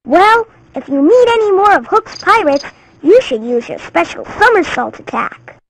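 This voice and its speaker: A woman's voice: very high-pitched, sing-song, normal speed, slight background noise, full character voice, rough recording. A woman playing an eager, admiring little boy in a cartoon game, with a childlike, earnest delivery. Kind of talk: cartoon video game acting.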